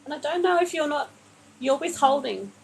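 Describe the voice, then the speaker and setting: A woman's voice: high voice, expressive, normal speed, gravelly, slight background noise, rough recording. A woman reading tarot cards for a viewer during a live stream, soothing and meandering, calling the listener honey, speaking into a phone mic. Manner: meandering, many fillers and restarts. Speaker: tarot card reader.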